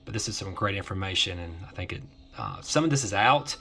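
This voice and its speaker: A man hosting an informal explainer commentary, halting as he repeats and restarts his phrases, with music behind him. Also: low voice, animated, creaky, sharp tone. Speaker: host of an eighties film nostalgia series.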